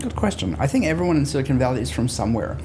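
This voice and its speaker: A man's voice: medium pitch, sing-song, very fast, background noise. A man, talking conversationally as a guest in an interview. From Austria. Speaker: interview guest, business therapist.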